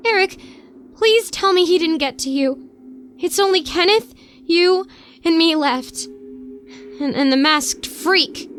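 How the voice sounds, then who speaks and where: Woman acting a very high, frightened pleading woman. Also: a bit bright, soft background music, heavily acted. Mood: scared, pleading. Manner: halting, stammering, pleading. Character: frightened, desperate young woman pleading through a door. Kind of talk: horror audio drama acting.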